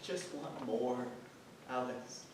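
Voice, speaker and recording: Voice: mid-range voice, takes it slowly, natural voice. An actor playing a proud, weary young man, a jailed shoemaker's apprentice, earnest and stubborn in a staged play reading. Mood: despairing. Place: theatre stage, distant microphone. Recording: very echoey theatre, far-off mic, faint noise.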